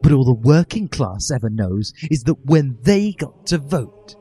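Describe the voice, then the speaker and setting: A man's voice: mid-range voice, sing-song, a little slow, mellow, husky. A man voicing an angry, self-righteous revolutionary teenage boy with an indignant, sarcastic, ranting delivery.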